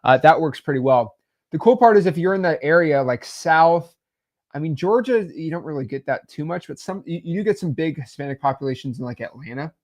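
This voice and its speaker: A young man talks through business advice in a loose, rambling way, full of filler words. His voice sits a little high and climbs and drops often as he gets excited. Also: brisk. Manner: rambling, many fillers, animated swings in loudness. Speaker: podcast co-host. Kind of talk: casual business advice chat.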